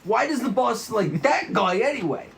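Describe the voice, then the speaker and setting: A man's voice: extremely high pitch, expressive, normal speed, vocal fry, exaggerated voice. A man playing a hot-headed, foul-mouthed young tough with a mixed Australian and Brooklyn accent at a table read. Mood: irritated. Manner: combative, swearing, shouting. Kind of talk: amateur script table read.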